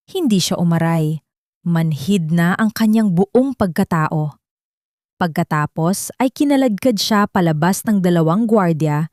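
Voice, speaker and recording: A woman narrating a scripted audio drama in a solemn, suspenseful, measured way. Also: medium pitch, darkish tone, dry recording, clean studio sound. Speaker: story narrator.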